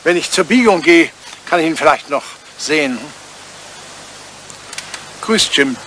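A man's voice: on the high side, animated, a little slow, sharp tone, a little hiss or hum. An older man acting a grumpy, self-centred old English lord in a German radio play, querulous and easily flustered. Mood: wistful. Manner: querulous, hesitant, blustering.

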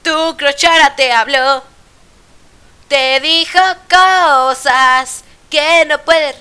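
A woman singing a parody song in a loud, drawn-out way. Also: sits high. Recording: loud music over the voice.

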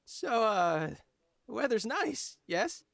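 A man's voice: very high voice, big pitch swings, slow, creaky, fairly bright tone, no room sound, studio quality, exaggerated voice. An actor playing an awkward, polite young noble lord in a video game, earnest and hesitant in his speech. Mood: flustered. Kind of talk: video game character acting.

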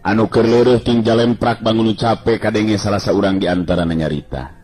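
A man's voice: fairly low pitch, steady tone, a little fast, darkish tone, soft background music. A man narrating a Sundanese folk tale as a radio-style storyteller, in scripted dramatic narration.

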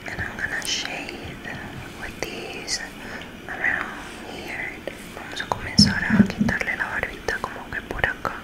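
A woman whispering gentle, slow instructions to the listener in an ASMR barber roleplay. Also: poor audio.

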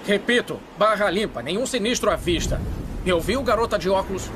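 A man's voice: high voice, animated, brisk, noisy background. A man voicing an eager young male leader of a mystery gang, bossy and gung-ho, in a dubbed cartoon. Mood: commanding. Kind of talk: cartoon dub acting. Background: loud music score.

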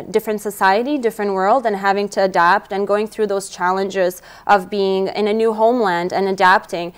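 Young woman, twenties, non-native English, earnest political guest, smooth clear tone, lapel mic in studio. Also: mid-range voice. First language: not English. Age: 20s. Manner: earnest, eager, fluent with fillers. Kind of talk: studio interview answers.

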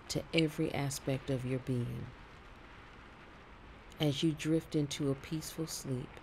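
Slow, mellow man guiding sleep meditation. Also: fairly high pitch, vocal fry, slight background noise, poor audio. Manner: soothing, gentle, deliberate phrasing. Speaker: meditation narrator.

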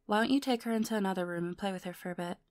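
A woman's voice: mid-range voice, racing, darkish tone, no room sound, natural voice. Woman acting a composed ex-wife, dry close sound. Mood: polite, guarded. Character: composed, guarded ex-wife and mother. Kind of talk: audio roleplay acting.

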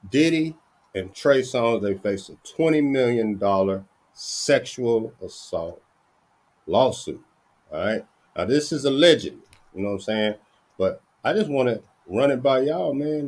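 A man's voice: medium pitch, darkish tone. A middle-aged man from the Dallas area hosting an entertainment news channel, chatting casually and tagging "man" and "you know what I'm saying" onto everything. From Dallas–Fort Worth area, Texas. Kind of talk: casual news chat to viewers. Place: small room indoors. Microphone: webcam with headphones on.

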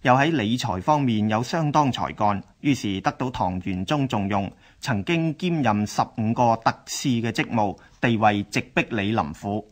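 A man's voice: on the low side, moderate pace, few pauses. A man with a Hong Kong Cantonese accent reading scripted historical narration.

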